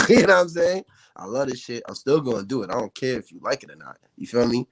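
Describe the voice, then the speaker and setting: A man's voice: mid-range voice, lively intonation, quick pace, a bit bright, dry recording. An American man, a rapper, talking casually as a podcast guest with slangy speech and frequent check-in tags. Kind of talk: casual interview chat.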